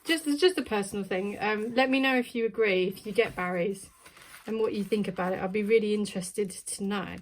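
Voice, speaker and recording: A woman's voice: mid-range voice, a little fast, low-quality recording. A woman chatting casually while unboxing a plant subscription box, thinking aloud with plenty of ums. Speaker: plant vlogger.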